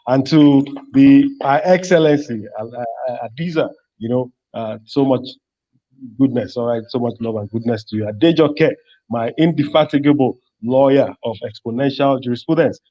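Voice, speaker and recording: A man with a Nigerian accent giving a speech of thanks as a summit host, effusive and grandiloquent, with halting phrasing, on lo-fi call audio. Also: mid-range voice. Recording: dull, lo-fi online call audio.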